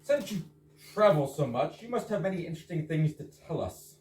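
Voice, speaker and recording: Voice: mid-range voice, very animated, fairly quick, a little mellow, soft background music, lightly acted. An amateur actor playing an insistent, reproving husband in a staged comedy reading, with emphatic corrections. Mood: curious. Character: insistent, reproving married man, doggedly logical. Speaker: amateur readers theater actor. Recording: echoey room, far mic, background noise.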